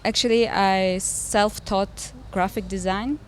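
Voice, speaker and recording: A woman's voice: mid-range voice, fairly even intonation, slow. A woman from Armenia and Russia, a native Armenian speaker, casually telling her own story as an interview guest. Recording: noticeable background noise and ambience.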